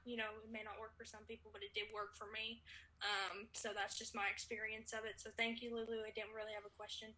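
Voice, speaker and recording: A woman's voice: fairly high pitch, sharp tone, rough recording. A woman with a Texan accent sharing her experience in a recovery meeting, friendly, laughing often and hesitating with many "um"s. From Texas. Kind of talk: casual personal sharing.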